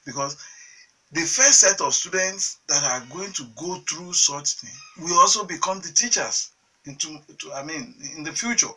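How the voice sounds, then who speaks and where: Nigerian male guest, earnest and halting, bright husky tone, slightly distant. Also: a little high, animated. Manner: halting, many restarts and fillers, earnest. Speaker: science teachers association chairman, guest. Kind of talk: studio TV interview answers.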